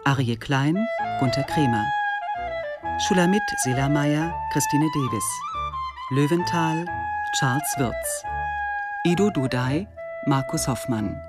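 Slow credits announcer over loud music. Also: medium pitch. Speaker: radio play credits announcer. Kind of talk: scripted closing credits announcement. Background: loud music with clarinet and guitar.

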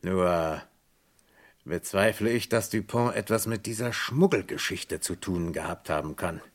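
A police commissioner in an old radio crime play. His voice sits fairly low, and he speaks in a measured, formal way. He is courteous and methodical, yet firm. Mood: deliberating. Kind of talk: German radio crime drama acting, mid twentieth century.

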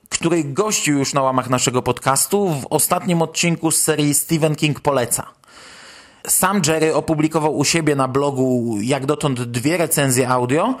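A man hosting a podcast, talking through news in a conversational commentary style. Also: fairly high pitch. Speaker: podcast host.

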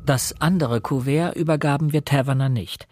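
An actor playing a reflective young man, narrating a radio crime drama in a measured, earnest way. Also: fairly high pitch, over music, natural voice. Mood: sombre. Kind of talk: scripted first-person radio drama narration.

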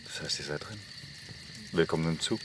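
An actor playing a self-assured commune leader in a radio drama. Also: male voice, very deep voice, normal speed, heavy background noise. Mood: cool, commanding.

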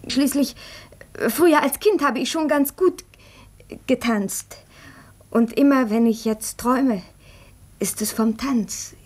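A woman's voice: a little high, slow pace, long pauses. An actress playing a nervous, impulsive young upper-class woman in a period radio drama, intense and abrupt. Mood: gushing, wistful. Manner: intense, abrupt, swinging between gushing and sharp.

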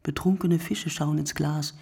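A woman playing a reflective narrator in a literary radio play, with a husky voice and deliberate, fragmented, poetic phrasing that dwells on single words. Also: sits low, fairly even intonation, mellow, no room sound, studio quality, heavily acted. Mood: musing, wry. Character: reflective woman narrator musing poetically on night-time drinkers.

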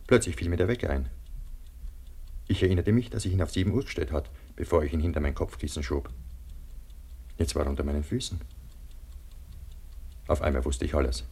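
An actor playing a frightened man buried alive under avalanche snow, halting and brooding, in a German radio play. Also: very deep voice, warm tone, slightly rough, exaggerated voice. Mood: haunted, numb. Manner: halting, brooding inner narration breaking into desperate calls. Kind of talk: German radio play acting, monologue narration and dialogue.

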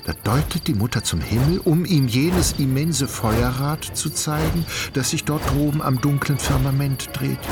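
A man narrating a literary radio play in a measured, dramatic way. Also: mid-range voice.

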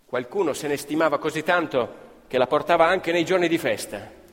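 A man's voice: a little high, fairly quick. A man telling a scripted story as a stage narrator in radio theatre, with a dramatic, emphatic delivery. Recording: live violin and music behind.

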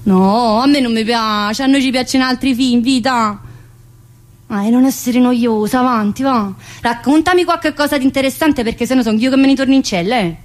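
A woman's voice: fairly high pitch, normal speed, lightly acted. A woman playing a cheeky, needling female prison inmate in a colloquial theatre monologue, with a southern Italian accent and a teasing, familiar manner. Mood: teasing, cajoling. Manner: teasing, familiar, full of rhetorical questions. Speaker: stage actress performing a monologue. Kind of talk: theatrical monologue in colloquial dialect-tinged speech.

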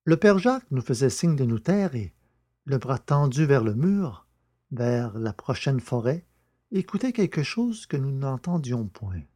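A man's voice: mid-range voice, big pitch swings, a little slow, dark tone. A man narrating a novel in a scripted, literary storytelling style. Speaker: audiobook narrator.

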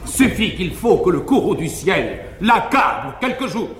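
An actor playing a garrulous, fearful but frank male valet, delivering quick, emphatic comic declamation on a 1950s live stage. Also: sits high, very animated, slight background noise. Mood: mocking, confiding. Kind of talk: classical verse-era stage acting. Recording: echoey 1950s live theatre recording, reverberant hall.

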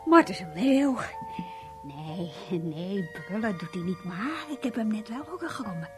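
An actress playing an excitable, credulous housewife in a Dutch radio play, animated and prone to stammering. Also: female voice, mid-range voice, measured pace, music in the background. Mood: dreamy, pleading. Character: excitable, credulous housewife doting on her jobless husband.

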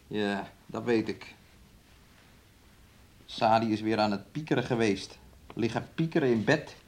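An actor playing a domineering, resentful young fiancé in a radio play, with a taunting, needling way of talking. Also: male voice, fairly low pitch, a little slow, darkish tone. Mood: belittling. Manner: speaks of himself and her in third person, taunting.

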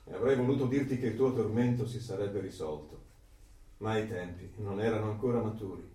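Actor as solemn low-voiced knowing man, echoing. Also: fairly quick, mellow, far from the mic, natural voice. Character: knowing male confidant who reads a tormented man's thoughts. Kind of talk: theatrical radio drama acting. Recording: echoey, off the microphone.

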